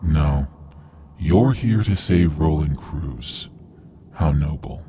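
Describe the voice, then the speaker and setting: Man acting a polite menacing mastermind, extremely deep, condescending, radio play, faint ambient noise. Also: takes it slowly, dark tone. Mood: smug menace. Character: calm, masked male mastermind villain, smugly controlling.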